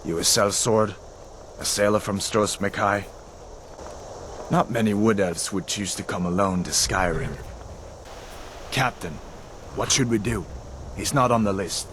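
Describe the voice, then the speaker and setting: A dutiful but sympathetic soldier in a fantasy video game. His voice is a little low and measured, with quiet authority, over clear ambient noise. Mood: uncertain. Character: dutiful, sympathetic male Imperial soldier with prisoner list. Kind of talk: video game character acting. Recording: noisy outdoor game scene ambience.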